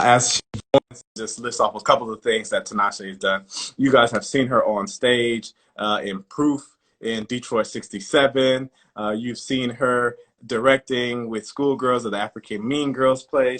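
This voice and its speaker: A man's voice: medium pitch, a bit bright. Enthusiastic American man hosting. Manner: enthusiastic, casual, many uh fillers. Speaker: podcast host, artistic director. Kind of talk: podcast interview.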